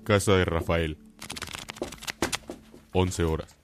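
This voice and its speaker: Low, slow male scene announcer. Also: fairly even intonation, music in the background.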